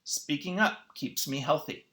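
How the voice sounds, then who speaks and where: Someone reading a children's picture book aloud in an expressive, teacherly way. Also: medium pitch, a little slow. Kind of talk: children's read-aloud narration.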